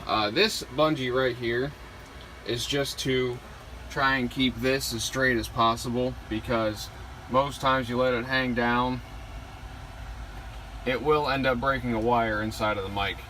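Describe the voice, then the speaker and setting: A man giving a casual hands-on gear walkthrough, plain-spoken and halting, pausing between short phrases. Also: medium pitch, rough recording. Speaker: truck driver showing his CB radio setup. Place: inside a truck cab. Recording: steady vehicle and air-conditioning noise behind.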